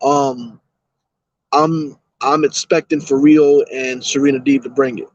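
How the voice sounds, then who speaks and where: A man's voice: a little high, very animated, unhurried, vocal fry, dry recording, muffled recording. A man appearing as a guest on a podcast, giving his picks earnestly and talking at length. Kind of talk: casual chat.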